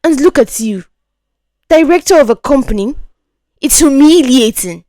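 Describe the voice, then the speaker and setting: A woman's voice: sits high, animated, moderate pace, mellow, dry recording, voice pushed up. A woman voicing a resentful, insecure young man in a storytelling tale, with a brooding, snappish manner. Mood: bitter.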